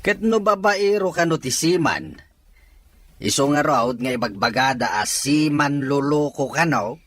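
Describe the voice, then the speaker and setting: A man's voice: on the high side, lively intonation, measured pace, fairly bright tone. A man playing a stubborn older father in a radio drama, distrustful of his daughter's suitor. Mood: suspicious.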